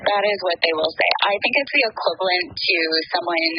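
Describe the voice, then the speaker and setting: A woman's voice: on the low side, brisk, slight background noise. A young Canadian woman chatting as an interview guest, full of ums and laughs, talking over a call from a train. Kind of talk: casual interview chat. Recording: muffled lo-fi call audio.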